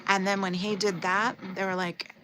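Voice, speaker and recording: A woman's voice: medium pitch, flat delivery, normal speed, bright, forceful tone, poor audio. Chatty woman streamer, sore throat. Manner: talkative, reads chat comments aloud. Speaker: livestream host. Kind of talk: casual livestream chat.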